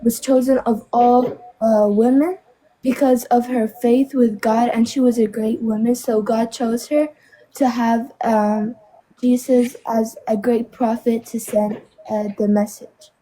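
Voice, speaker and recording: A woman's voice: fairly high pitch, level tone, a little slow, warm tone, rough recording. A seventeen-year-old girl who is sick, joining a debate as a guest and answering hesitantly with many fillers. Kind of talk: casual debate conversation.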